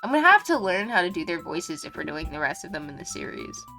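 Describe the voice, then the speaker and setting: A woman's voice: lowish voice, very animated, fast talker, creaky, sharp tone, faint music behind. A woman streaming a game with casual live commentary, chatty, gushing and self-deprecating. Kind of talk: casual live commentary while reading a visual novel.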